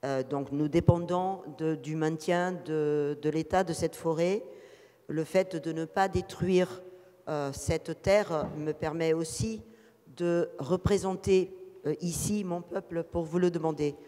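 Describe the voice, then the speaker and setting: A man's voice: fairly high pitch, fairly even intonation, takes it slowly, mellow. A man interpreting live at a ceremony, hesitant, with many fillers. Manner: hesitant, frequent filler "euh". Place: ceremony hall. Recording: long reverb in a big hall.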